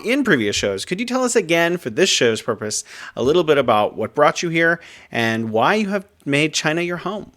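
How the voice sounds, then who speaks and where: A man hosting a podcast panel, asking questions in a friendly, halting way with restarts. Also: medium pitch, fairly bright tone. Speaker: podcast host and moderator. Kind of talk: casual interview moderating.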